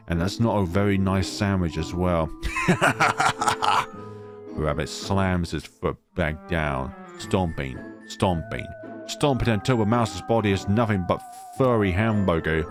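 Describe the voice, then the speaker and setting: A man reading a visual novel aloud as dramatic narration, expressive and reacting as he goes. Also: sits low. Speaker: let's player reading a game aloud. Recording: music behind the voice. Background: game music.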